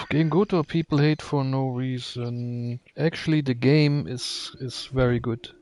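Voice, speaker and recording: A man's voice: medium pitch, fairly even intonation, slow pace, a little mellow. A man giving casual live video game commentary, muttering to himself as he plays.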